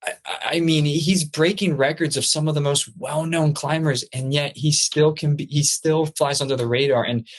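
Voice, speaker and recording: A man's voice: a little high, steady tone, moderate pace, few pauses. Young guy guest on a podcast, born in peru grew up in florida, kinda stammery and thoughtful. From Peru, raised in Florida. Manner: thoughtful, stammers on starts of sentences. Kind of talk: casual chat.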